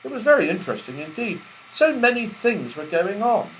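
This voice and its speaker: High-pitched, animated male storyteller. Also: normal speed, slight background noise, low-quality recording. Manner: expressive. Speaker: audiobook reader. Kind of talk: children's story narration.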